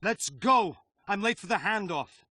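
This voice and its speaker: A man playing a frantic, ruthless villain in a video game cutscene, shouting urgent, menacing orders. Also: high voice, animated, measured pace, dry recording, studio quality, heavily acted. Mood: furious, urgent.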